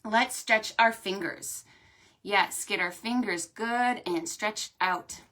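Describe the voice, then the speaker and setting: A woman hosting a storytime for preschoolers, talking to small children in an animated, sing-song way. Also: mid-range voice, takes it slowly. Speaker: children's librarian and storytime host. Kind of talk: preschool storytime with stretches and songs.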